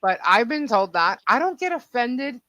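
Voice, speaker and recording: Deep-voiced woman, emphatic podcast chatter. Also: very animated, moderate pace, pushed and bright, no room sound. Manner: emphatic, talks at length, repeats herself. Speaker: podcast co-host. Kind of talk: casual opinionated chat.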